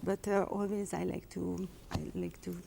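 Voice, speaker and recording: A woman's voice: on the low side, flat delivery, normal speed, vocal fry, dark tone, slight background noise. A woman, a spa owner who is a guest on a radio interview, giving short, giggly answers in English. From Hungary. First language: Hungarian.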